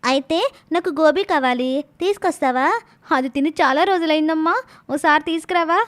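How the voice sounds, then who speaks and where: A woman's voice: high-pitched, moderate pace, fairly bright tone. A woman voicing an eager, cheeky young village daughter with a childlike sing-song way of talking, in a story cartoon. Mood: eager, pleading. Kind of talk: animated moral story dialogue.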